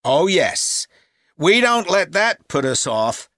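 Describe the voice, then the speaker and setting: A helpful camp manager answering an enquiry. His voice is bright and animated, and he takes his time as he explains things. It sounds scripted and informative, recorded very dry. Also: sits high, no echo, full character voice. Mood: helpful, upbeat. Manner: informative, measured, upbeat. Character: helpful, upbeat male cycle camp manager taking a call. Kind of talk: acted phone enquiry for a listening test.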